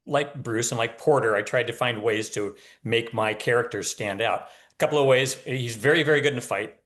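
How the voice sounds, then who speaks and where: A man's voice: fairly low pitch, fairly bright tone. A man talking casually as an author guest, explaining point by point with wry humor. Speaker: novelist, podcast guest. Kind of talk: casual interview talk.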